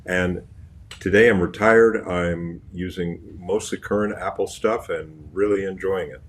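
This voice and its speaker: Deep voiced 70s retiree guy on a podcast panel, a bit of faint noise behind. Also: steady tone, measured pace. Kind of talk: casual panel chat.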